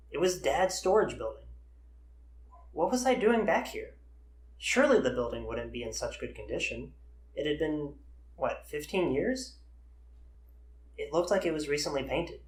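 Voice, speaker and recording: A man playing an earnest, haunted man in his early twenties who tells his eerie story haltingly in an audio drama. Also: on the high side, lightly acted. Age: young adult. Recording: roomy, echoey voice recording.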